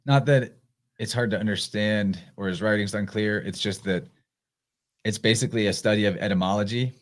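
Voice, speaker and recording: A man hosting a live podcast in casual chat, relaxed and reading out listener comments as he goes. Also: fairly low pitch, a little fast. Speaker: podcast host.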